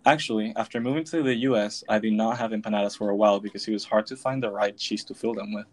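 A young man from Venezuela co-hosting a podcast, reading a prepared script in a steady way. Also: lowish voice, fast. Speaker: podcast co-host.

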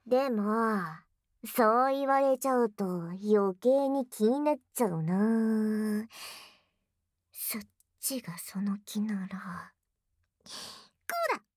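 A woman's voice: medium pitch, slow pace, long pauses, no echo. A voice actress playing a young tsundere girlfriend, bashful yet teasingly bold, in an intimate ASMR audio drama on a close binaural mic. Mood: casual, curious. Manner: flustered, stammering, breathy asides dropping into whispers. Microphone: close binaural microphone, dry studio.

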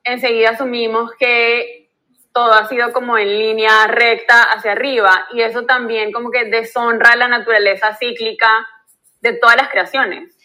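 A woman's voice: on the high side, flat delivery, moderate pace, sharp tone, poor audio. A woman, hosting a podcast, speaking in a reflective, affirming way. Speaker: podcast host. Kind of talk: casual conversation.

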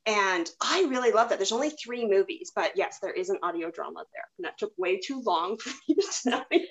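A woman in a casual podcast chat, talking in an animated, chatty way. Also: medium pitch, fairly quick, highs cut off, a little hiss or hum. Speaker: podcast participant discussing radio dramas.

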